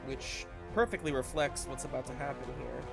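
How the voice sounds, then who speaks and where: A man's voice: medium pitch, moderate pace, gravelly, over music. A man speaking as game master, narrating a live tabletop game casually in a hesitant, improvised way.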